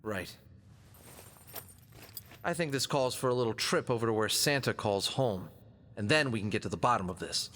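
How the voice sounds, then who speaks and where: A man's voice: medium pitch, moderate pace, long pauses, a bit bright, a little hiss or hum, lightly acted. A man playing a confident, wisecracking fox adventurer in an audio drama, quippy and self-assured in his delivery. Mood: resolved, breezy. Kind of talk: scripted audio drama dialogue. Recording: long hall-like reverb.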